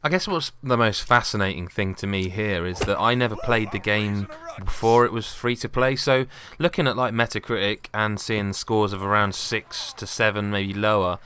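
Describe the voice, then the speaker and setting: A man's voice: fairly low pitch, moderate pace, hardly any pauses, noisy background. Chatty man commenting on gameplay. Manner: chatty, questioning the viewers. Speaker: game reviewer. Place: in a house.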